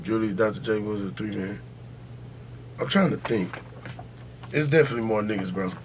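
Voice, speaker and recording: A man's voice: lowish voice, lively intonation, normal speed, background noise. An American man hosting a podcast, debating sports casually but heatedly, swearing freely and repeating himself to press his point. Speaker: podcast host.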